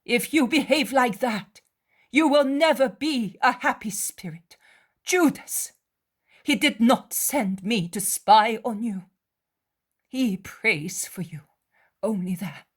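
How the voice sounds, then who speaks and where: A tender, sorrowful mother who pleads with a wayward young man, as a woman reader gives her voice. She speaks slowly and earnestly, her breathy voice lifted well above the reader's own. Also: a little high, expressive, pitched above the performer's own voice, full character voice. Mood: pleading.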